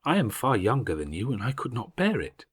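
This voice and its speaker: A male narrator plays an impulsive young schoolgirl in an audiobook. The voice is lifted well above a man's natural register and swings freely, with a slightly husky edge. The manner is eager, emphatic and quick to indignation. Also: medium pitch, wildly swooping pitch, voice pushed up. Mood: indignant. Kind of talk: audiobook character voice.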